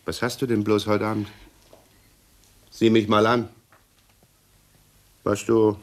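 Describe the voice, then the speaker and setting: A man's voice: lowish voice, flat delivery, slow pace. An actor playing a pedantic, self-satisfied middle-aged policeman husband in a radio play, fussy and blustering, repeating himself. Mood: aggrieved. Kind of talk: 1960s German radio play acting.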